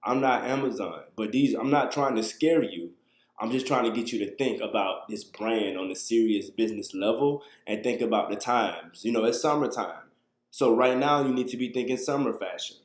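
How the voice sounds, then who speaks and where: A man's voice: mid-range voice, moderate pace, roomy sound. A man in his thirties hosting a casual livestream, talking loosely and answering viewer questions from a small room on a webcam. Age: 30s. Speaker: livestream host. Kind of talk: casual live talk to viewers.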